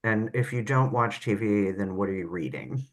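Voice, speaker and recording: A woman's voice: a bass voice, moderate pace. A woman hosting a podcast in casual chat, with a playful, self-deprecating manner. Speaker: podcast host.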